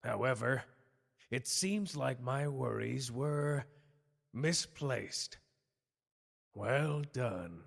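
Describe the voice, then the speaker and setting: A man playing a scheming, haughty villainous official with a gravelly voice in an anime roleplay audio drama. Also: medium pitch, expressive, very slow, long pauses, long reverb tail, full character voice. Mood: smug, threatening. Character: smug, threatening male villain, scheming sorcery official, cowardly underneath.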